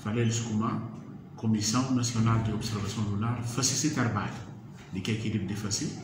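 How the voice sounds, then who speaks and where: A middle-aged man speaking as spokesman at a press conference, formal and measured, stressing words slowly. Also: lowish voice, fairly even intonation. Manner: measured, formal, slow and emphatic, opens with Arabic blessings. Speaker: spokesman of a moon-sighting commission. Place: yellow-walled meeting room, indoors. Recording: echoey room with background noise.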